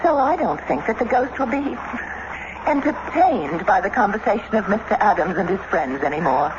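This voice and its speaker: An actress playing a spirited, quick-witted middle-aged wife of a statesman, poised and wry, in an old radio drama. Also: medium pitch, big pitch swings, natural voice. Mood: polite, amused. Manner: poised, wry, formal diction. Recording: muffled, very noisy old radio recording.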